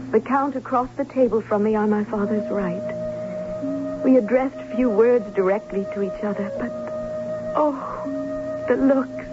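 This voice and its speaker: A woman's voice: mid-range voice, natural voice. Woman playing a lovestruck young bride, tinny radio. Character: lovestruck, wounded young bride, romantic and naive. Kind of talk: old radio drama acting and storytelling. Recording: tinny old radio with music.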